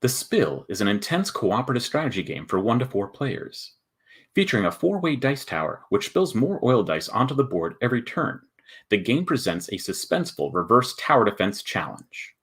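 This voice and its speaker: A man performing a dramatic, suspenseful trailer narration for a board game, with deliberate phrasing. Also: fairly low pitch, sing-song, a bit bright, breathy edge, heavily acted. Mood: suspenseful, intense. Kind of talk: dramatic scripted promo narration.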